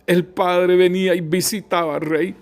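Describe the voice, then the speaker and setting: A middle-aged man praying aloud with fervor, his voice sitting a little high. He speaks in short bursts, breaking each phrase with a repeated invocation to God. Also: level tone, a little slow. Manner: fervent, constantly repeating "Señor" and "Rey Santo" between phrases. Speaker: prayer leader. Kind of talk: spoken congregational prayer.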